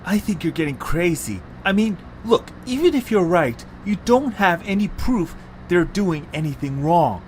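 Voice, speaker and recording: Lively, easygoing cartoon boy played by a man. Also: high-pitched, normal speed, slight background noise. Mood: skeptical. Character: easygoing, sensible young boy Zoombini, small cartoon creature. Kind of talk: amateur cartoon audio drama acting.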